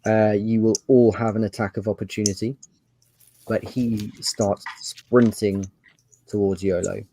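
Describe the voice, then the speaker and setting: A man's voice: on the low side, level tone, unhurried, warm tone, a little hiss or hum. A man narrating an improvised tabletop game as game master, conversational and descriptive.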